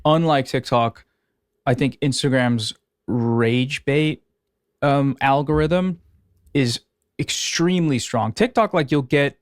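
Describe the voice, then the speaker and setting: A man's voice: medium pitch, slow, dry recording. Guy guesting on a podcast, laid back, dry close sound. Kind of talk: casual podcast chat.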